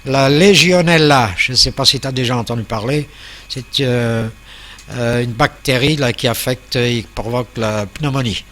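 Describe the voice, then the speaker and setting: A man's voice: medium pitch, normal speed, a bit bright. A man from Portugal chatting casually as an amateur radio operator, explaining things in a friendly way.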